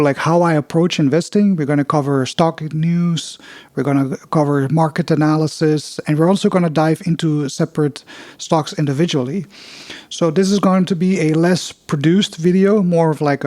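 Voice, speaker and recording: Non-native podcast host with a Dutch accent, slightly high-pitched, dry recording, relaxed and unscripted. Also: male voice, no echo. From Netherlands. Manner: loose and unpolished, many fillers and restarts. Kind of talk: casual investing podcast with chart walkthrough.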